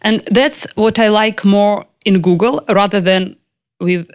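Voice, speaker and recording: A young woman from Ukraine, speaking in a lively, chatty way as an interview guest. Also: medium pitch, unhurried, clean tone. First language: Russian. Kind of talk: casual conversational interview.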